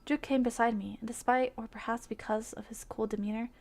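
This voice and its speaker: A woman livestreaming visual novels, switching between excitable gushing reactions and expressive read-aloud narration. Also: on the high side, a little fast. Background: game music.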